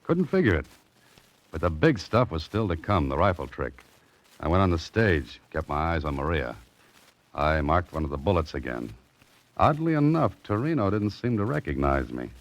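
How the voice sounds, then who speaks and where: A man's voice: sits low, big pitch swings, normal speed. A man playing a cool, wry American amateur detective in a 1940s radio mystery, clipped and understated. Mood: cool, suspenseful. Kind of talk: 1940s radio drama narration and acting. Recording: dull old radio recording.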